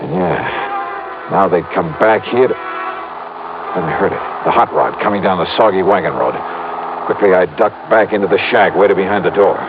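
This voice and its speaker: An actor playing a wry, tough, unflappable insurance investigator in an old-time radio detective drama, clipped and hard-boiled. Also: male voice, low-pitched, normal speed, narrow, tinny audio, music in the background, natural voice. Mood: tense, pained. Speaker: first-person narrator and hero. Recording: thin old radio recording.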